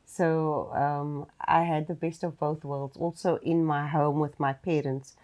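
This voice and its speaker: A woman with a Cape Flats South African accent, a self-employed guest, speaking in a measured way with frequent ums. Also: low voice. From Mitchells Plain, Cape Flats, South Africa. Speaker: guest, entrepreneur.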